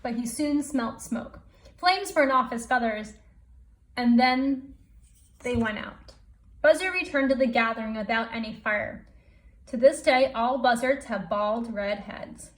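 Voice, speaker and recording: Higher-voiced woman reading a storybook aloud. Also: measured pace, poor audio. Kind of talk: storybook read-aloud for children.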